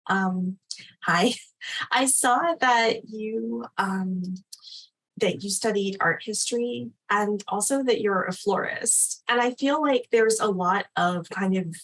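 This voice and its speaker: A woman's voice: mid-range voice, slow pace, fairly bright tone, poor audio. A woman hosting a discussion as an editor, speaking in a casual, conversational and encouraging way. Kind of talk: casual moderating of an online literary discussion.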